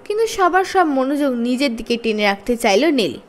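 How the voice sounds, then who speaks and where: A woman reading a children's story aloud in expressive narration. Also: high-pitched, fairly quick.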